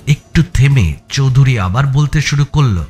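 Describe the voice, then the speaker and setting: A man playing a curious first-person narrator in a thriller audiobook, telling it with expressive suspense. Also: medium pitch, normal speed, husky, soft background music. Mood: suspenseful.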